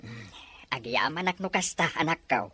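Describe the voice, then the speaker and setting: Woman playing a low-voiced gentle worried father. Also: lively intonation, normal speed, husky, heavily acted. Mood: concerned, tender. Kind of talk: melodramatic radio-style drama acting.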